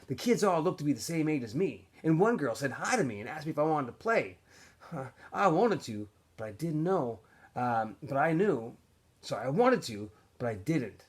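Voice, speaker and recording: A man plays a homeless young boy in a novel read-aloud, wistful and guarded. The delivery is earnest and halting, with lively swings in pitch and a husky edge. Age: child.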